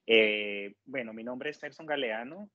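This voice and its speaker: A Colombian man in his thirties speaks as an interview guest in a mid-pitched voice. He explains his experience politely and with measure, on slightly dull, muffled audio with no room echo. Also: unhurried, pushed and bright. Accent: Colombian Spanish. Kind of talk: conversational personal account.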